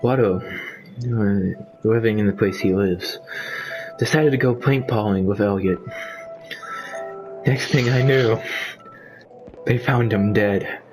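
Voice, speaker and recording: Slow, low-pitched man improvising a story. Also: a little mellow, low-quality recording. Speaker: amateur storyteller among friends. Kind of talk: improvised mock-dramatic storytelling. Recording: music playing behind the voice. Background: background music.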